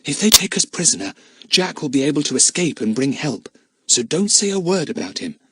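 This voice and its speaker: A man's voice: on the high side, big pitch swings, a little fast, sharp tone, slightly rough, dry recording, voice pushed up, heavily acted. A man voicing an earnest young boy who pleads and bluffs, read in a storybook. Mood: urgent whisper. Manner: eager, pleading. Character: earnest young boy, brave but desperate. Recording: dry close mic.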